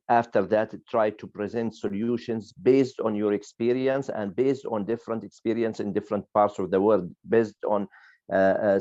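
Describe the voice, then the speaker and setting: A man giving advice as a panelist in an online discussion, speaking haltingly and repeating words as he goes. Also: on the low side, warm tone, dry recording. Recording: dull lo-fi online call audio.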